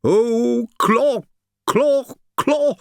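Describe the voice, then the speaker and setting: A man voicing a mocking prophetic Sibyl, a woman oracle chanting slow, stammering verse in an audiobook drama. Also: extremely high pitch, creaky, no echo, studio quality, pitched above the performer's own voice, full character voice.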